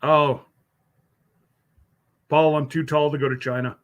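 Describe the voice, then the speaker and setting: A man's voice: fairly high pitch, flat delivery, measured pace. A man hosting a casual live stream, rambling along as he answers viewers by name, with many fillers and restarts. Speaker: livestream host.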